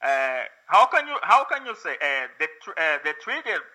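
A Brazilian man with a Portuguese accent talks in English, his native Portuguese showing through. His voice sits a little high and sounds bright and sharp. He hesitates constantly, with fillers and restarts as he searches for words. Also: very animated, normal speed, low-quality recording. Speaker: guest, English learner. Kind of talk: casual conversation.

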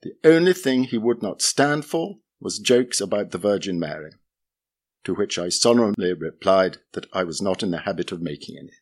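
A man with a fairly low voice reads a memoir chapter aloud. His delivery is measured and understated, with a dry, wry edge.

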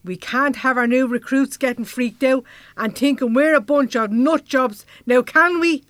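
An actress playing a brisk, down-to-earth Irish woman who leads a misfit gang in a comedy audio drama. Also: high voice, no echo, close to an everyday voice. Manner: bossy, down-to-earth, chatty.

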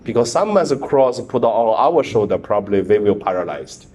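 A man, a priest whose first language is Mandarin, preaching a sermon in halting speech with many fillers and repeated words. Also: lowish voice, big pitch swings, darkish tone, husky.

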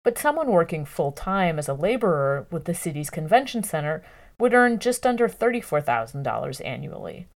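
A woman reading a scripted news article aloud in a measured, journalistic way with clear enunciation. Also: sits low, very animated.

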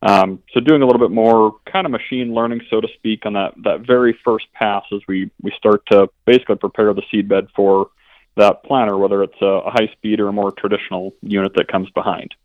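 A man's voice: lowish voice, fairly even intonation, fairly quick, no room sound. A man from an equipment dealership, explaining things as a radio guest and often restarting his sentences. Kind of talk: interview answers. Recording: dull, lo-fi line.

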